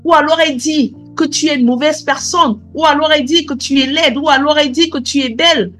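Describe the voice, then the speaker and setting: A woman's voice: high voice, fairly quick, fairly bright tone, no echo, faint music behind. A woman preaching a sermon, asking repeated questions and punctuating her lines with alleluia and amen.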